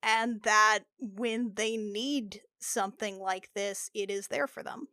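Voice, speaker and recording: A woman chatting casually as a podcast regular, playful and expressive. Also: medium pitch, takes it slowly, dry recording, studio quality. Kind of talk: casual group chat. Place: remote group recording over a call.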